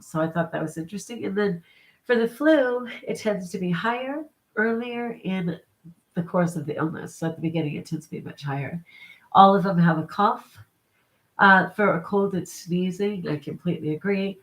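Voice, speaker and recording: A congested, middle-aged woman with a cold, chatting casually and upbeat on a live stream, laughing between remarks. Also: on the low side. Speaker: vlogger.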